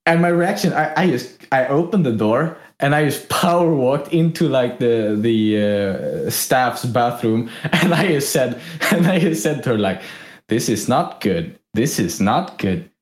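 A man's voice: medium pitch, expressive, measured pace. A young man from Sweden telling a story in a casual, rambling way, laughing often. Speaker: podcast co-host. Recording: echoey room.

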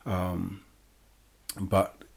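A man born in England, co-hosting a casual discussion, talking haltingly with many fillers and restarts. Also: deep voice, completely flat delivery, creaky, husky. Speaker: podcast co-host.

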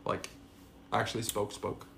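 A man in his twenties co-hosting a podcast, chatting casually and getting excited, often saying "bro". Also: unhurried. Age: 20s. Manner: excitable, says "bro" a lot. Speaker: podcast co-host. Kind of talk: casual chat.

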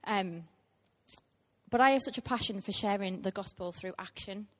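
A woman's voice: medium pitch, normal speed. Earnest woman preaching a sermon. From Teesside, England. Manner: earnest, heartfelt, with frequent ums. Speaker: guest preacher.

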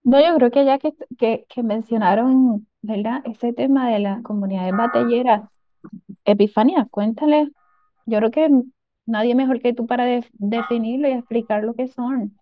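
A woman's voice: on the high side, normal speed, dry recording. Female panel participant, conversational, raised register, close dry recording with a lo-fi edge. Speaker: participant in a group discussion. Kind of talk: casual discussion.

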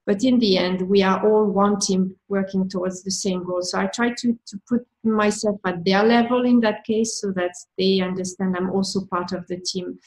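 A woman's voice: medium pitch, steady tone, normal speed, hardly any pauses, darkish tone, low-quality recording. A woman with a French accent, answering interview questions as a manager, thoughtful and with frequent restarts.

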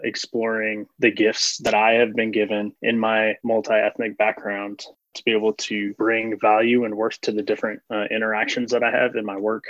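A man's voice: on the low side, monotone, normal speed, few pauses, fairly bright tone, no echo. A young man speaking as a panel guest, earnest and open about personal things. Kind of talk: casual reflective discussion. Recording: dull dry recording.

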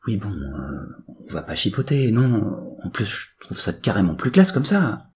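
A man's voice: on the low side, big pitch swings, unhurried, voice pushed up. A man voicing a sulky adolescent son, casual, whiny and sarcastic, in a comic audio story. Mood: defensive.

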